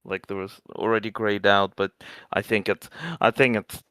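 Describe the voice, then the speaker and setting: A man giving casual, joking commentary on a game, a bit halting and repeating words as he makes his point. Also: lowish voice, flat delivery, fairly bright tone, clean tone, dry recording.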